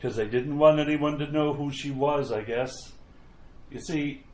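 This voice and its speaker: A man's voice: fairly high pitch, measured pace, lightly acted. A man playing an uneasy, folksy graveyard caretaker who stammers in a retro radio mystery. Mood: grim storytelling. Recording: roomy echo, noticeable background noise.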